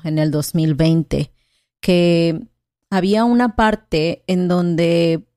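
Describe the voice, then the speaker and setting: A woman from Mexico talks through her thoughts in an unhurried, hesitant way, checking in with her partner as she goes. Her voice sits a little low, and the recording is dry and close, with no room sound. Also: warm tone, dry recording. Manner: thoughtful, hesitant, with frequent "¿no?" tags. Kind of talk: casual conversational chat.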